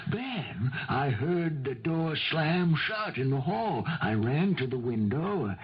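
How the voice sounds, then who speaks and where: Nervous male witness, slow, husky, fussy and halting; dull vintage radio recording. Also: a little high, big pitch swings, full character voice. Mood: hesitant. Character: hesitant, nervous male neighbour giving witness testimony. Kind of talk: 1970s radio mystery acting.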